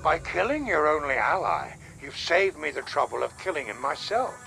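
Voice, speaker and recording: An actor playing the scheming, menacing leader of a secret society, speaking with condescension. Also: male voice, on the high side, very animated, moderate pace, gravelly, pushed and bright, soft background music, exaggerated voice.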